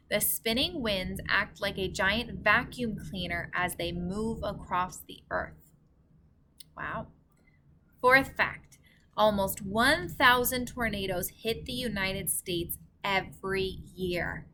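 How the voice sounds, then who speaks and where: A woman's voice: medium pitch, slow pace, pushed and bright, slight background noise. A woman reading a storybook aloud like a teacher, enunciating carefully for young children. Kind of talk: storybook read-aloud narration.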